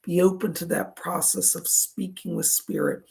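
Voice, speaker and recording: A man with a raspy voice, a psychic medium giving live readings in a soothing, reassuring, chatty way. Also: high-pitched, level tone, moderate pace, darkish tone.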